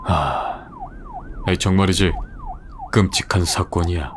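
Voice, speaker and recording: A man doing a gruff, weary veteran detective. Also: low voice, huge pitch swings, unhurried, background noise, full character voice. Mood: grim, appalled.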